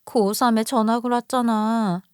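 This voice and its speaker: A nosy, mocking teenage schoolgirl, as a woman plays her with a high, rushed voice. She chatters and teases in a radio story. The sound is dry and close. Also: fairly even intonation, darkish tone, smooth, no room sound, close to an everyday voice. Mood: teasing.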